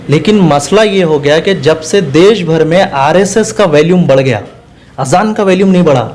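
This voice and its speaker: A man's voice: a little high, darkish tone. A man giving earnest, emphatic political answers in an interview, repeating phrases for stress. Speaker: political party spokesman, interview guest.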